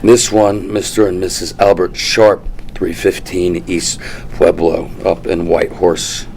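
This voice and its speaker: A man playing a withdrawn, guilt-laden young man who speaks in halting, terse fragments at a script table read. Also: sits low, little pitch movement, vocal fry, a little mellow, slight background noise, poor audio. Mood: subdued.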